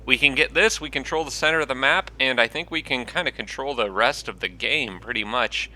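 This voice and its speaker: A man's voice: medium pitch, a little fast, pushed and bright. A man hosting his own gaming channel, talking casually through a strategy game while stuffed up from allergies, a little nasal, thinking aloud with frequent ums. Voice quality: slightly nasal from congestion.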